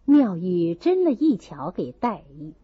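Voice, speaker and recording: A woman reading a classic novel aloud as an audiobook, with expressive dramatized narration. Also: on the low side, a little slow, husky, dry recording. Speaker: audiobook reader. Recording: slightly dull, muffled recording.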